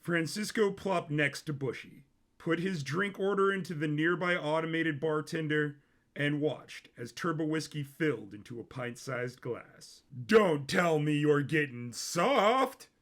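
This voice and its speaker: Creaky-voiced male story narrator. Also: a little high, measured pace, a bit bright. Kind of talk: scripted dramatic story narration.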